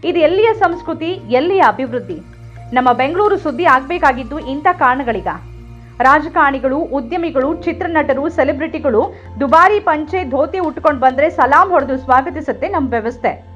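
A woman with a high voice narrates a scripted news story with a Kannada accent. She speaks earnestly and often asks rhetorical questions. The recording is slightly dull, with faint music underneath. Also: lively intonation, moderate pace, a bit bright.

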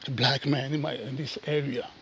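A man, not a native English speaker, talking casually to his viewers while walking outdoors and filming with his phone, often calling them guys and dropping to soft asides. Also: medium pitch, fairly even intonation, normal speed, creaky, a little hiss or hum. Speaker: vlogger. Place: outdoors on a suburban footpath.

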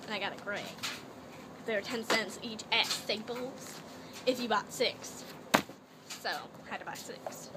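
Rambly teen girl from canada putting on a silly accent, kinda high voice, noisy webcam mic in her bedroom. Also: a little slow, long pauses. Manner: chatty and rambling, putting on a playful fake accent. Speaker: vlogger. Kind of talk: casual haul video chat. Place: small cluttered bedroom, indoors. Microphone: laptop webcam. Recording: noisy laptop webcam audio.

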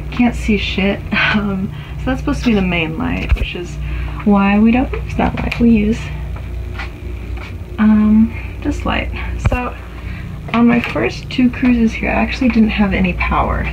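Young woman vlogger, casual and rambling, medium pitch, dull noisy phone recording in a small cabin. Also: vocal fry. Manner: chatty, rambling, with many fillers. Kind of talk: casual room tour vlog. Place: small crew cabin on a cruise ship. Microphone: phone camera held in hand. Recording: dull lo-fi phone audio with noise.

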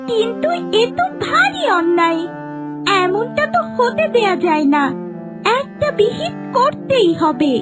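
A woman's voice: extremely high pitch, sing-song, unhurried, exaggerated voice. A woman voicing a righteous magical talking fish in a cartoon fairy tale, with music underneath. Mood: indignant, resolute.

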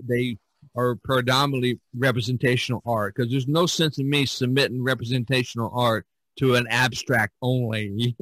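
Casting: male podcast host, medium pitch, dry close sound, rambling storyteller with emphatic points. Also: fairly bright tone, no echo, rough recording. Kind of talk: casual podcast discussion.

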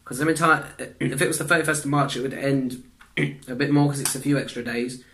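A young man, chatting in a rambling way for a reaction vlog in his bedroom, restarting sentences, clearing his throat and swearing often. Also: medium pitch, fairly even intonation, fast, a bit bright. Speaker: vlogger reacting to videos. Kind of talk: casual reaction vlog chat. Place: small bedroom indoors.

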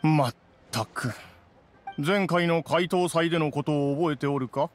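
A dignified mountain immortal from an anime game, acted by a man. He speaks in measured, archaic phrases with a grumbling, reproving edge. His pitch is a bit high, over faint music. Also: close to an everyday voice. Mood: recounting.

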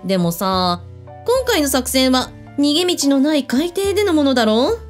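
A woman's voice: sits high, very animated, normal speed, faint music behind. An actress in a radio drama playing a breezy, blunt female hotel owner who speaks with authority. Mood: casual, grumbling.

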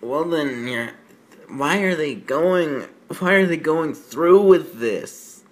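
A man hosting a fan fiction reading, giving mocking off-the-cuff commentary in an exasperated, incredulous, halting manner with stammered repeats. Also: a little high, lively intonation.

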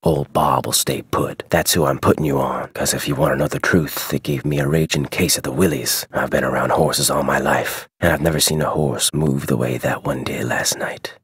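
A gruff ranch wrangler from a video game, with an extremely low, heavily rasping voice. He talks in a terse, folksy Western American cowboy drawl, guarded and brusque, recorded dry and close. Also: steady tone, moderate pace, hardly any pauses, a bit bright, no echo, full character voice. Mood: wary. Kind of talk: scripted game dialogue.

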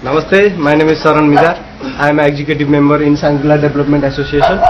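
A young man with a Nepali accent talks haltingly to camera. His voice is fairly high, recorded dully outdoors with noticeable noise. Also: level tone. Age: 20s. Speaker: executive member of an aid association. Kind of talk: halting spoken statement to camera. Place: outdoors in front of a building. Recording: muffled camera sound with noise.

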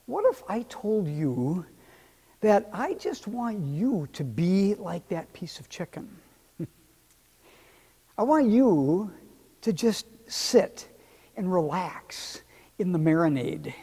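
A man's voice: sits high, slightly rough. A middle-aged man preaching a sermon as pastor, speaking expressively with a lapel mic in a church sanctuary. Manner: expressive, gesturing with both hands. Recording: long reverb of a church hall.

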